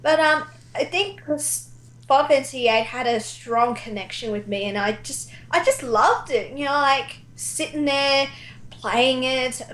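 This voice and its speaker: A woman's voice: high voice, unhurried, a bit bright, reverberant, rough recording. A woman chatting casually and enthusiastically about video games as a guest who directs fan dubs.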